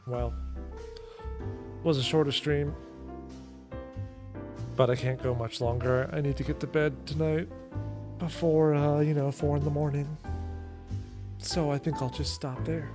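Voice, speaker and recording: A man's voice: a little high, little pitch movement, a little slow, pauses often, music in the background. A man hosting a livestream, chatting casually and informally. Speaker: streamer, show host.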